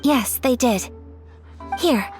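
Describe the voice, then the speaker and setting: High-pitched young noblewoman, refined, gentle, formal, polite, video game, faint music behind. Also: expressive. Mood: polite, attentive. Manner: formal, polite, measured phrasing. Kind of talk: scripted video game voice acting.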